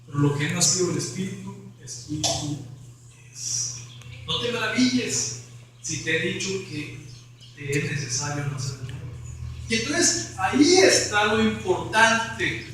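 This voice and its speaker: Bright-voiced pastor preaching. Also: male voice, high voice, sing-song, measured pace, heavy reverb, recorded from a distance, a little hiss or hum, poor audio. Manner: preaching, rising to emphatic questions. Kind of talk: evangelical sermon.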